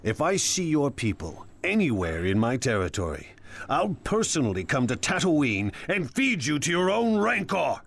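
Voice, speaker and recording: A man's voice: a little high, sing-song, moderate pace, vocal fry, fairly bright tone, exaggerated voice. A man playing a ruthless, ambitious crime boss in a video game, speaking in a smug, imperious, commanding way. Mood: smug, threatening. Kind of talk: cinematic video game acting.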